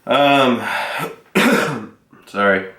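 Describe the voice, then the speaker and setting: A man's voice: medium pitch, extremely slow. A young man with a cold, sounding congested and nasal, casually answering viewer questions about lifting with many ums and the odd cough. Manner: relaxed, rambling with frequent ums and coughs. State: sick, congested and sore-throated. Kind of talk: casual Q and A vlog. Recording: echoey room.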